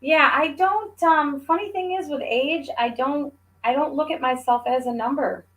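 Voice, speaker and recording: A woman's voice: high-pitched, normal speed, fairly bright tone. A woman in her forties chatting casually, talking things through in a reflective, explanatory way. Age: 40s. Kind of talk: casual chat.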